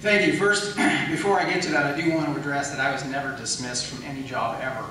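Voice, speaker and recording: A man's voice: medium pitch, fairly even intonation, fast, pushed and bright. An American man speaking in a campaign debate as an attorney, firm and explanatory, repeating points for emphasis. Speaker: county attorney seeking re-election. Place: echoey hall at a public debate. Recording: very echoey room, distant mic, faint noise.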